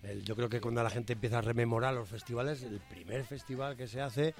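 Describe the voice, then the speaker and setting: A man's voice: medium pitch, fast talker. A man from Segovia with a Castilian Spanish accent, hosting an interview in an informal, rambling way. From Segovia, Spain. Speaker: interviewer, host.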